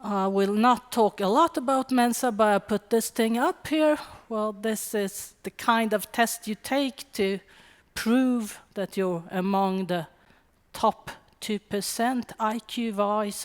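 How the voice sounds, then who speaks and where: A middle-aged woman from Sweden with a Swedish accent, giving a wry, conversational conference talk with quick asides. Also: mid-range voice. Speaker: conference speaker. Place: conference hall. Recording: long hall reverb.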